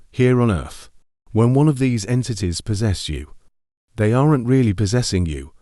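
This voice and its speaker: A man's voice: on the low side, warm tone, no room sound, clean studio sound, close to an everyday voice. A man playing a secretive federal investigator, giving an informal, rambling explanatory briefing in an amateur horror monologue. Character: secretive male FBI investigator recording a confidential briefing.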